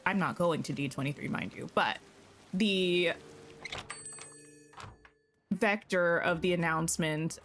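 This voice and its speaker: A woman's voice: on the low side, a bit bright. A woman live-streaming a game, chatting casually and answering her viewers, her voice slightly scratchy. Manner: chatty, greets and answers viewers, thinks aloud. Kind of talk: casual live-stream chat while playing.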